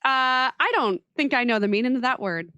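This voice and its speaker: A woman takes part in a tabletop roleplaying game, moving casually between describing actions and talking in character. Her voice is bright and crisp in tone, with a dry, close sound. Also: high voice, animated, brisk, dry recording, dull audio.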